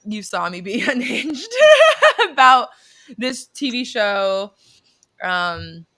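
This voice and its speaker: Young woman, podcast host, bright voice, chatty, laughing, swears casually. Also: mid-range voice, very animated, takes it slowly. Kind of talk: casual chat.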